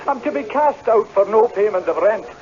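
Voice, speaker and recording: A high-voiced, desperate piper. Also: male voice, animated, moderate pace, a little mellow. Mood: pleading. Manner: deferential stammering that turns to impassioned declaiming. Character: desperate tenant piper, humble then defiant storyteller. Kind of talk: old-time radio drama acting. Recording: dull, noisy vintage radio recording.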